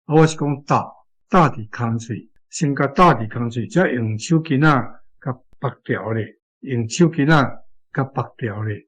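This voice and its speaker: A Taiwanese man with a medium-pitched voice teaches language. He explains word choices and demonstrates phrases piece by piece. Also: normal speed, rough recording. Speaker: language teacher giving model translations.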